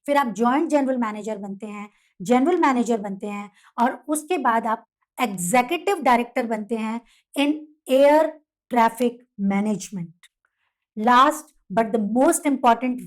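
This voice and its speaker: High-pitched Indian woman presenting. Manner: instructional, listing points one by one. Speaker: presenter of career guidance videos. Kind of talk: scripted explainer narration.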